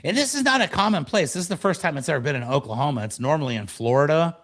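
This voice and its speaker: A man's voice: fairly high pitch, animated, rapid-fire, fairly bright tone. An American man co-hosting a podcast in casual, conversational chat. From United States. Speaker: podcast co-host.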